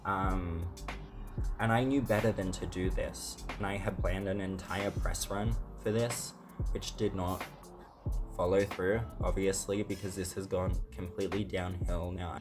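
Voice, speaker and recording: Low husky-voiced woman, clips over music. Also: fairly even intonation, unhurried. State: losing her voice. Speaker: influencer in clips. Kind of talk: confessional video clips.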